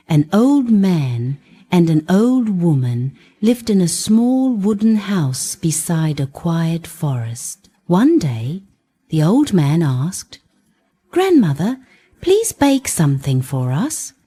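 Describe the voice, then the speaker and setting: A woman narrating scripted fairy tales for young children, speaking gently in short, simple phrases. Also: on the low side, very animated, takes it slowly, slightly rough. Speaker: children's bedtime storyteller.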